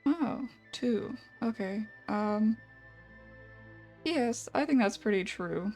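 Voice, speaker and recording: A woman giving cozy, quiet, casual commentary as she plays a game, soft-spoken and informal. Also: fairly high pitch, animated, slow, faint music behind. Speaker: streamer, gameplay commentator.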